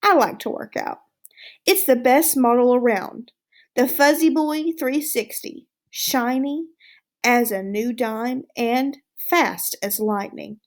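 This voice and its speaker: A woman voicing a smug, excitable male pet hamster in a storybook read-aloud, boastful and exclamatory. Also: fairly high pitch, takes it slowly. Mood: proud, eager. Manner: boastful, self-satisfied, exclamatory.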